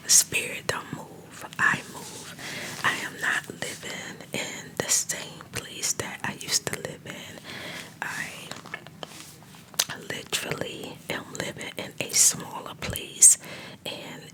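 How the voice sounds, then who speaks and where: A woman, getting over a cold, telling a rambling story in a fully unvoiced ASMR whisper. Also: poor audio. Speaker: ASMR channel host.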